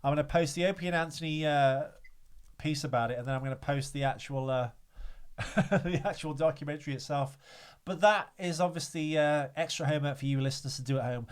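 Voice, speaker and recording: A man's voice: on the high side, a little fast, clear, resonant tone. A young man co-hosting a podcast in casual, candid chat with crude humor and plenty of fillers. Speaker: podcast co-host.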